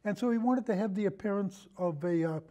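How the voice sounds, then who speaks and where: A man in a casual film discussion, telling anecdotes in a halting, rambling way and often repeating his phrases. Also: sits high, mellow. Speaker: podcast guest, film discussion.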